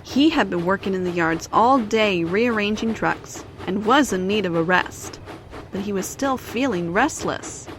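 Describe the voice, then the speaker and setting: A woman's voice: mid-range voice, lively intonation, a little slow, slight background noise. A woman narrator reading a scripted children's story in storybook style.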